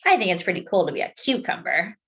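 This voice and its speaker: A woman reading a children's story aloud expressively, phrasing deliberately as if to young listeners. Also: lowish voice, very animated, dull audio. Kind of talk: expressive picture-book read-aloud with asides to young listeners.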